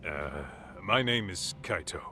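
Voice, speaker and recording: A man's voice: low voice, lively intonation, unhurried. A man playing a brash, loyal ex-yakuza who works as a detective's partner in an English game dub. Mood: respectful, probing. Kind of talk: video game cutscene dialogue. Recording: music behind the voice.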